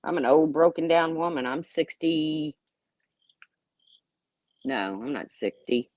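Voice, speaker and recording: A woman's voice: sits low, lively intonation, takes it slowly, a little mellow, no echo, lo-fi sound. A woman in her late fifties hosting a casual livestream, talking bluntly and sarcastically as she rambles and answers her chat. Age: 50s. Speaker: YouTube livestream host.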